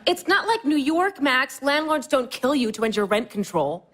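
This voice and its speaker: An actress in an audio play as a lively young wife who teases her friends and talks quickly. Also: sits high, noisy background. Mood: teasing. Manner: teasing, quick, animated.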